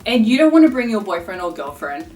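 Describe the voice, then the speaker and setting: A woman hosting a casual, chatty vlog in an animated storytelling way. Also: mid-range voice, fast. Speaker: vlog host. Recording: roomy, distant mic with faint music.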